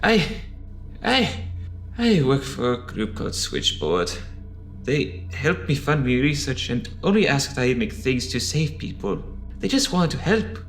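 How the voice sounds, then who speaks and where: A man's voice: medium pitch, very animated, a little slow, faint music behind. A man playing an anxious, defensive scientist in an audio drama, hesitant and stammering as he pleads. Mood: pleading, defensive. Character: anxious, defensive male scientist pleading his good intentions.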